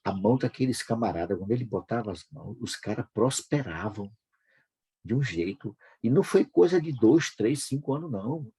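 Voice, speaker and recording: A Brazilian man hosting a devotional broadcast, speaking informally and fervently with a husky voice, repeating phrases for emphasis. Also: mid-range voice, lively intonation, normal speed, mellow. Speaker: host of a devotional prayer broadcast.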